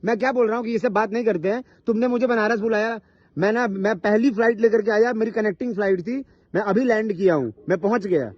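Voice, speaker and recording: A man's voice: fast, no room sound. A man in a heated argument on a recorded phone call, confrontational and repetitive, often cutting in and swearing. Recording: muffled, low-fidelity phone call recording.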